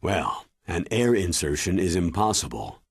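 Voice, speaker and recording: Deep, husky military commander. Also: male voice, very animated, no echo, studio quality, exaggerated voice. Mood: instructive. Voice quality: husky, weighty. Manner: measured, commanding, grave. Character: stern, authoritative older military commander giving mission briefing. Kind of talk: dramatic video game cutscene acting.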